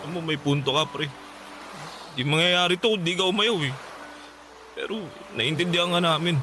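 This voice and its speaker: A man's voice: on the high side, moderate pace, background noise, close to an everyday voice. An actor playing a sorrowful young male friend in an emotional radio drama, with a Filipino accent. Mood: somber, resigned.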